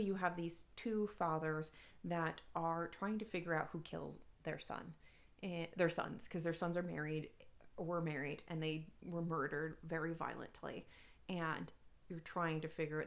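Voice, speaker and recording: Creaky-voiced woman chatting casually about books. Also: on the low side. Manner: informal, rambling, self-deprecating, emphatic. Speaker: book review vlogger and podcast co-host. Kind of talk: casual chatty video monologue to viewers.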